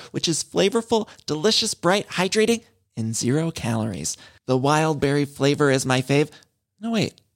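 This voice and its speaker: A man reads a drink ad with playful, gushing enthusiasm. His slightly high voice swoops all over the place. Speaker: ad reader, podcast host. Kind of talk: upbeat ad read.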